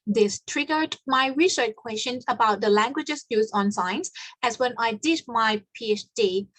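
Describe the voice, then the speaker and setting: A woman's voice: fairly high pitch, fairly bright tone, dry recording. Woman giving an academic talk. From Thailand. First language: Thai. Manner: measured, explanatory, slightly formal. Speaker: researcher presenting her study. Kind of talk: academic conference presentation.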